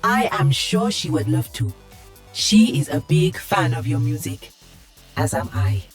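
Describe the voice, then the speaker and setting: A woman's voice: deep voice, very animated, a little slow, soft background music. A woman voicing an earnest, polite female guardian robot in a video game, speaking formally and precisely through a robotic filter.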